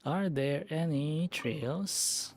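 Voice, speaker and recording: A man's voice: a little high, extremely slow. A man chatting casually on a game livestream, musing aloud to himself as he plays. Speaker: game streamer. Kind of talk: casual livestream gameplay chat.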